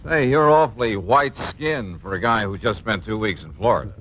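An actor playing a jovial, sceptical buddy in a 1950s radio comedy, wry and needling. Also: male voice, fairly low pitch, brisk, fairly bright tone. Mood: teasing. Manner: wry, teasing. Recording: dull, noisy 1950s radio broadcast.

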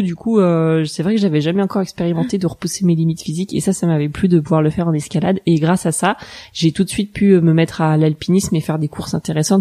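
A woman's voice: on the low side, dark tone. A woman in her thirties from Haute-Savoie in France, telling her story casually and modestly as an interview guest. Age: 30s. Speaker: interview guest, mountain guide and rescuer.

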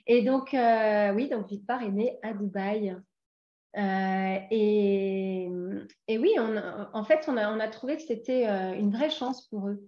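A woman in her forties from France, a guest talking casually in an interview and pausing often with fillers and restarts. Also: medium pitch, steady tone, echoey room, lo-fi sound. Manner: hesitant, many fillers and restarts.

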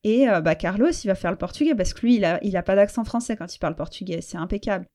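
Soft-toned French woman, reflective. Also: mid-range voice, lively intonation. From France. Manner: reflective, halting with fillers and restarts. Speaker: interview guest. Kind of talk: personal conversational interview.

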